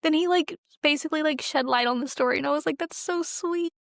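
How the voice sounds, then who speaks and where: A woman's voice: sits high, quick pace, vocal fry, no room sound, studio quality. A woman co-hosting a podcast, talking through films in a casual, conversational way peppered with "like". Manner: informal, conversational, often says like. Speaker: podcast co-host. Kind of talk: casual film discussion chat.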